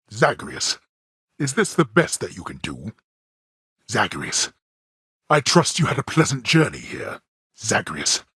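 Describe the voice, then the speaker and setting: A man playing a stern, older, sardonic god of the underworld in a video game, gruff and husky, speaking in formal, archaic, contemptuous phrases. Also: mid-range voice, fairly bright tone, dry recording, clean studio sound, heavily acted. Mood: scornful. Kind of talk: video game character dialogue.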